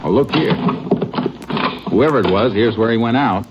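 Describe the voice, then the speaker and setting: An actor playing a steady, methodical Texas Ranger in a 1950s radio drama, speaking in a calm, clipped, matter-of-fact way. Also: male voice, medium pitch, moderate pace, close to an everyday voice. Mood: alert. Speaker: Texas Ranger lead character. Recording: dull old radio broadcast, loud hiss.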